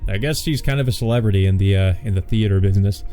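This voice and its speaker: A man's voice: fairly low pitch, fast, mellow, faint music behind. A man hosting a let's play, reading visual novel text aloud and adding informal, joking commentary.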